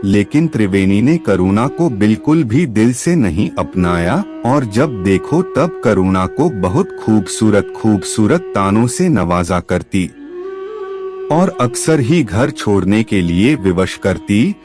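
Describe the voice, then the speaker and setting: Deep, animated male storyteller over music. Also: slow. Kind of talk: scripted dramatic narration of a family moral story. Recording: music behind the voice. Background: background music.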